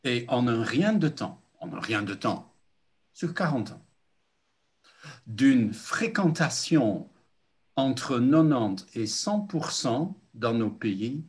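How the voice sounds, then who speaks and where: Slow, hesitant older male preacher. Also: mid-range voice, big pitch swings. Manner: slow, deliberate, with frequent hesitations. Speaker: pastor. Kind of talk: sermon.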